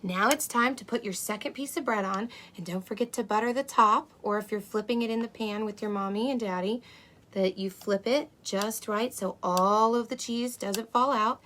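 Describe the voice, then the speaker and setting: A woman playing an excitable, gentle unicorn who presents a children's cooking show, talking to young kids with wonder and breathy asides. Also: medium pitch, normal speed, close to an everyday voice. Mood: instructive, cheerful. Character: excitable, gentle, impatient female unicorn hosting kids' show. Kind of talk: children's cooking demonstration to camera. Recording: clear steady background noise.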